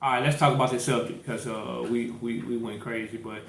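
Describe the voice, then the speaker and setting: A man's voice: medium pitch, steady tone, a little fast, gravelly, a bit bright. A man with a St. Louis accent co-hosting a casual podcast, talking loosely and joking. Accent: St. Louis, Missouri. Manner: loose, joking, urging listeners. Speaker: podcast co-host. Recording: echoey room with faint noise.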